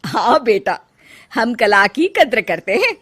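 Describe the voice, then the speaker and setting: A woman's voice: a little high, big pitch swings, slow pace, fairly bright tone, slightly rough, heavily acted. A woman voicing a chatty, middle-aged mother-in-law, bossy but affectionate and homely, in a cartoon story. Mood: admiring, proud.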